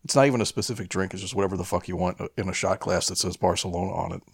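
Gravelly man co-hosting a podcast. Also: low voice, rapid-fire, dry recording, studio quality. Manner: profane, wry. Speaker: podcast co-host. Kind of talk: casual comic banter.

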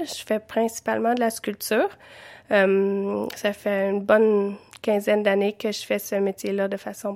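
A woman in her thirties with a Quebec French accent, recounting her life in an interview with many hesitations. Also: mid-range voice. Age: 30s. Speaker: interviewee, visual artist. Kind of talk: interview storytelling.

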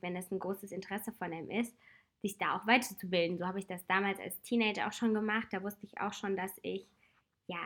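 Woman talking with a cold. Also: mid-range voice. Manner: reflective, chatty, with soft laughs. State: has a cold. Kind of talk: casual personal advice talk.

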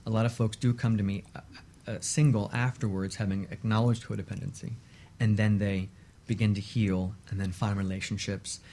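A man's voice: on the low side, flat delivery, moderate pace, mellow. A man speaking as a guest expert in a conversational radio interview, explaining things and acting out hypothetical exchanges.

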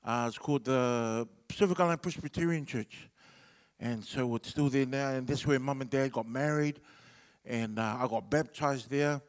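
A man's voice: medium pitch, normal speed, long reverb tail. A middle-aged New Zealand man whose first language is Samoan, telling a rambling stand-up story full of fillers. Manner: laid-back and rambling, with many fillers. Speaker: comedian.

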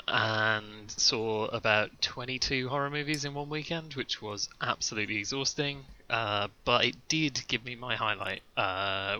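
Male panelist, bright forceful tone, blunt and animated. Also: medium pitch, highs cut off. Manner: blunt, animated opinions with laughter. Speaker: genre writer, podcast panelist. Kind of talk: casual podcast chat.

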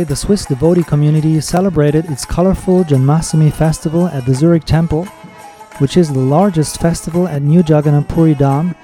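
Mellow-voiced male podcast host. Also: on the high side. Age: adult with children. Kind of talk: scripted podcast narration.

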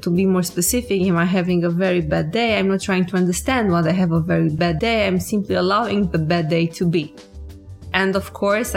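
Reflective female co-host, low register, gravelly texture, casual chat. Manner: reflective, searching for words. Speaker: podcast co-host. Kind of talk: casual podcast conversation.

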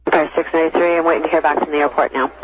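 A man's voice: on the high side, steady tone, rapid-fire. A man working as an air traffic controller, talking to pilots over aviation radio in a courteous, explanatory manner. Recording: muffled aviation radio, faint static.

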